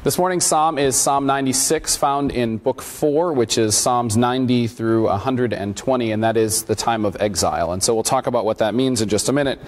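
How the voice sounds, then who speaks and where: A man in his thirties, a pastor with a scratchy voice, preaching and reading scripture in a measured, deliberate way. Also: mid-range voice. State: scratchy voice from allergies. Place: church sanctuary at a pulpit. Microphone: lapel mic.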